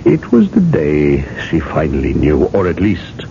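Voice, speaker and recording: A man acting in a 1980s radio drama as a stern, knowing interrogator who questions in a probing, deliberate way. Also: very deep voice, wildly swooping pitch, vocal fry, dark tone, heavily acted. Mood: relentless, accusing. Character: stern, knowing male interrogator, calmly relentless judge. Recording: dull, noisy old radio recording.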